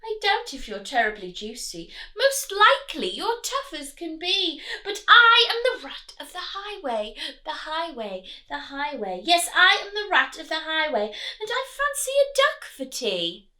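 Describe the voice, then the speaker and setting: Woman doing a greedy highway rat, high and bright, boastful and sneering. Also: wildly swooping pitch, unhurried, exaggerated voice. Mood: menacing. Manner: boastful, sneering, sing-song threats. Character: greedy bullying male rat highway robber.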